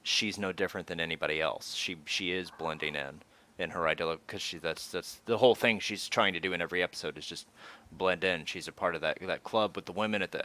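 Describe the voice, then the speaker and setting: A man co-hosting a casual online fan chat, wondering aloud and posing questions. His voice is very low yet bright and forceful in tone. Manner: musing, asks questions aloud.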